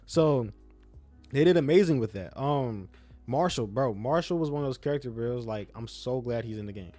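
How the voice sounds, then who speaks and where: A man's voice: mid-range voice, animated, brisk, warm tone, faint music behind. A young man with a slight speech impediment, giving casual, opinionated game commentary in a rambling, slangy way, repeating phrases for emphasis. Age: young adult. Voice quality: self-described speech impediment. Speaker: YouTube gaming content creator.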